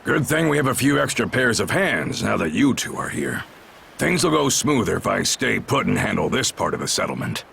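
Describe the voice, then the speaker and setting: An actor playing a brash, blunt Norman warrior chief in a video game, gravelly and husky, with a gruff, folksy, commanding way of talking. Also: on the low side, very animated, moderate pace, bright, forceful tone, slight background noise, heavily acted. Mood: brisk, commanding. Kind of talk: video game cutscene acting.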